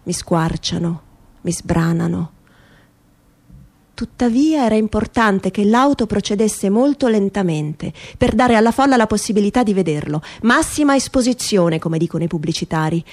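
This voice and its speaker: A woman giving a dramatic literary reading in measured, emphatic phrases, in the style of radio theatre. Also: mid-range voice, animated, a little mellow. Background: music.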